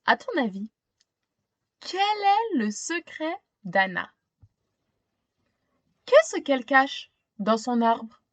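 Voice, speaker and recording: Expressive woman reading slowly, lively swooping pitch. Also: no echo. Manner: expressive, pausing to ask simple questions. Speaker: storytime reader. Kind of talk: children's picture book read-aloud with questions to listeners.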